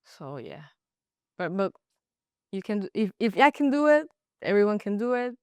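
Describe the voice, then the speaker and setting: A young woman from Colombia with a Colombian Spanish accent, a native Spanish speaker speaking halting English with frequent restarts in a casual interview. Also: mid-range voice, very animated, darkish tone, smooth, dry recording. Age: young adult. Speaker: guest, college goalkeeper.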